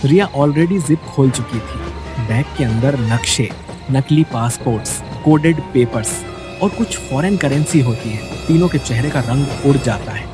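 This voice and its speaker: A man's voice: medium pitch, expressive, measured pace. A man reading a scripted bedtime story in a dramatic storytelling style, as narrator. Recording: music bed behind the voice. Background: background music.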